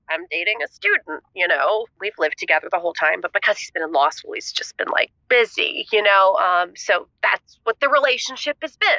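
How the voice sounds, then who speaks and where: A woman playing an exasperated, flustered young caller who vents haltingly over the phone. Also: mid-range voice, bright, forceful tone, dry recording, low-quality recording. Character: exasperated, frazzled young female spin instructor phoning in. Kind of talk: comic audio drama, radio caller.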